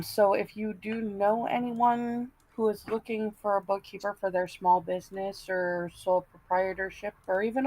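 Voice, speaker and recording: A woman on a panel stream speaking casually and plainly, in a halting way. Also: medium pitch, no room sound, rough recording. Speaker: panel member. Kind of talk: casual, halting plug to viewers.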